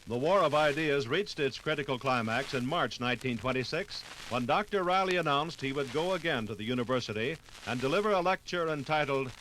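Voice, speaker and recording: A man's voice: mid-range voice, animated, fairly quick, hardly any pauses, fairly bright tone, slight background noise, rough recording. An American man reading scripted 1950s radio documentary narration in a formal, grave manner.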